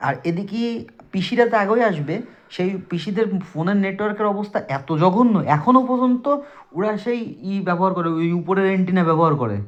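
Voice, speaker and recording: High-pitched man with southwestern Bangladeshi accent. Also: a little fast. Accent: southwestern Bangladeshi Bengali. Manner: conversational, emphatic, addresses listeners directly. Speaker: storyteller. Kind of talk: casual spoken personal ghost story to friends. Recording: dull lo-fi recording.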